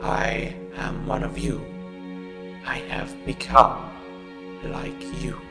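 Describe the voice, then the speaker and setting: A man playing a dying, mournful Cyberman in a fan audio drama, speaking in halting, solemn phrases with a gravelly robotic monotone. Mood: sorrowful. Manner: slow, halting, solemn delivery in short phrases. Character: dying Cyberman, damaged male cyborg, mournful and pleading. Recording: long reverb, music underneath.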